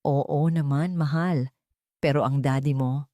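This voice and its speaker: A woman's voice: sits low, steady tone, a little slow, mellow, no room sound, studio quality. A woman voicing a scheming young woman who feigns sweet, plaintive concern in an audio story.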